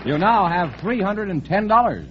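A man's voice: high-pitched, big pitch swings, moderate pace, faint music behind. An American man announcing scores and contestants on a radio quiz show. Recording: muffled old radio broadcast, faint noise.

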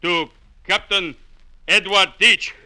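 An actor playing a cowed, pleading sailor in old-time radio drama, halting and submissive. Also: male voice, sits high, steady tone, bright, forceful tone, exaggerated voice. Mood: frightened, pleading. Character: cowed, pleading male sailor forced to toast.

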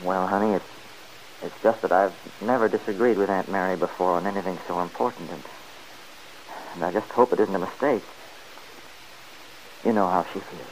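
An actor playing a loyal, plain-spoken farmhand friend in a 1940s radio drama, folksy and hesitant. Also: male voice, deep voice, normal speed, pauses often, slight background noise, close to an everyday voice, poor audio.

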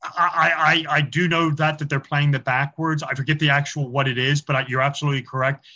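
A man's voice: fairly high pitch, flat delivery, quick pace, pushed and bright, lo-fi sound. A man talking as a podcast guest about film symbolism, chatty and explanatory, with frequent fillers and repeated words. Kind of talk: casual interview talk.

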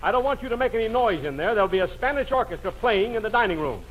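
A blustering, self-important restaurant boss, very high in pitch and swooping in exasperation. He scolds in old radio comedy style. The sound is dull and clearly noisy. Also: animated, quick pace, a bit bright. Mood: fussy, bossy. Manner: pompous, flustered, scolding. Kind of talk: 1940s radio comedy acting.

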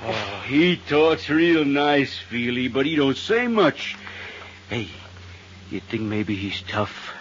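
Dim, menacing radio thug, muffled. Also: male voice, mid-range voice, very animated. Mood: gloating. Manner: slow-witted, simple slangy phrasing. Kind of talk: 1950s radio crime drama acting. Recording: muffled, faintly noisy 1950s radio recording.

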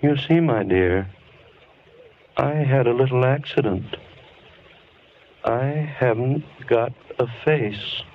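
A man's voice: mid-range voice, slow, pauses often, close to an everyday voice. An actor playing a disfigured madman who coaxes a young woman close, in an old radio drama. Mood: menacing. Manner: soft, coaxing and menacing. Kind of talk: 1930s radio drama acting. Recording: dull old radio broadcast with hiss.

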